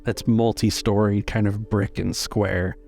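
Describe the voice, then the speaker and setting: A man narrating a tabletop role-playing game as game master, describing scenes haltingly in the present tense. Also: fairly low pitch, level tone, creaky, a little mellow, faint music behind.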